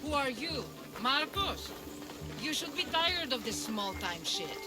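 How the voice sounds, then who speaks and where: A woman's voice: high voice, big pitch swings, unhurried. An actress playing a sarcastic, bossy bow merchant, a brash woman in a video game cutscene, over music. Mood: brash, scheming. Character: brash, foul-mouthed female bow merchant, impatient and mercenary.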